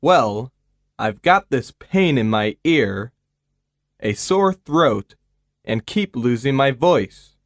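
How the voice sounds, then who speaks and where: A man's voice: mid-range voice, expressive, dry recording, clean studio sound. A man voicing a patient with a cold who speaks hesitantly in a scripted listening dialogue. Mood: unwell. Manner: slow, hesitant speech. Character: male patient with a cold, unwell.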